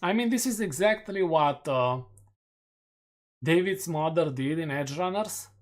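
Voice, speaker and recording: Joking Romanian-accented streamer. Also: male voice, a little high, lively intonation, unhurried. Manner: joking and self-mocking. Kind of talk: casual chat reading community polls.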